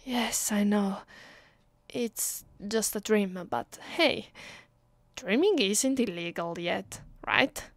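A woman with an Italian accent playing an affectionate, playful vampire girlfriend in an ASMR roleplay, speaking softly, intimately and teasingly. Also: medium pitch, slow, dry recording. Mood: tender, wistful. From Italy. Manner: soft, tender, teasing, intimate. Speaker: voice actress.

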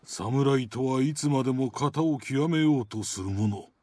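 Samurai game mentor, male actor: older man, grave, commanding, slow delivery, medium pitch. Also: a little mellow, no room sound, natural voice. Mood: stern, instructive. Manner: solemn, measured, commanding. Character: stern, calm older male samurai sword master. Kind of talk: samurai game drama acting.

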